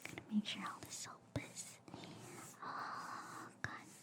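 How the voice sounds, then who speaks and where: A woman voicing a gentle, silly alien girl medic in a breathy, hushed whisper for an ASMR roleplay. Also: natural voice. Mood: soothing. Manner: slow, hushed, soothing, repeats phrases softly.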